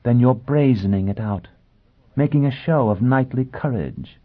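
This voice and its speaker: Storybook noblewoman played by a male narrator: lifted pitch, husky breath, amused hauteur, icy and fierce, on a slightly dull recording. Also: expressive, unhurried. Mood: taunting, amused. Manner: amused, haughty, theatrical.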